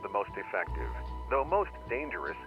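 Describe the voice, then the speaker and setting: A man playing a grave, formal narrator of an analog horror survival tape, measured and solemn. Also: fairly low pitch, huge pitch swings. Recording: muffled old tape, music underneath. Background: music.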